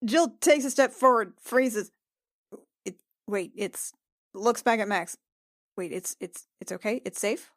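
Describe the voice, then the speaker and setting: A woman playing a tabletop role-playing game, chatting casually and describing her character with halting, emotive reactions. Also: a little high, dry recording, clean studio sound.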